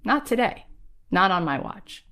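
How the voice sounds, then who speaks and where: A woman's voice: mid-range voice, sing-song, measured pace, a bit bright. A middle-aged woman hosting a podcast, giving an earnest, encouraging motivational talk with emphatic stresses. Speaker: podcast host and coach.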